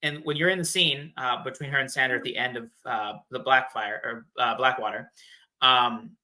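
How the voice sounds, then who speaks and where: A man's voice: mid-range voice, fairly even intonation, normal speed, sharp tone, low-quality recording. A man in a casual fan discussion, explaining things in a slangy, playful way as a podcast guest.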